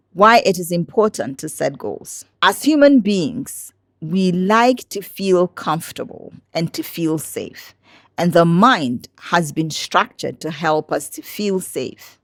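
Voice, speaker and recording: Low-voiced woman narrating motivation. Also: no echo, studio quality. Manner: encouraging, addresses listener directly.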